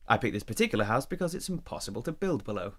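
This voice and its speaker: An actor playing a reserved, evasive, work-absorbed boyfriend in a naturalistic audio drama, speaking in a terse, matter-of-fact, guarded way. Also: male voice, medium pitch, very animated, very fast. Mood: calm, casual.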